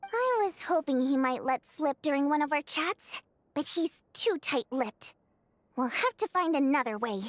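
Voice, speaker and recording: An actress voicing an upbeat young woman who leads an underground group in a video game, speaking briskly and confidently as she lays out a plan. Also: high-pitched, sing-song, no room sound. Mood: scheming. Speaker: game character. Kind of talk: voiced video game dialogue.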